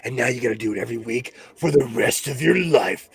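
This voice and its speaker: A man's voice: mid-range voice, fast talker, vocal fry, over a noisy crowd. A man hosting a livestream in casual, jokey chat, often breaking off and restarting his stories. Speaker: host of a sewing livestream.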